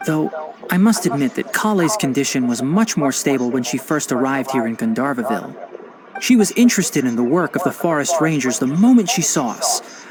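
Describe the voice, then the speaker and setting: A man's voice: medium pitch, close to an everyday voice. A man playing a patient, lecturing forest ranger. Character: calm, learned young male forest ranger and botanist. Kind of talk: video game voice acting. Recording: loud game soundtrack music behind.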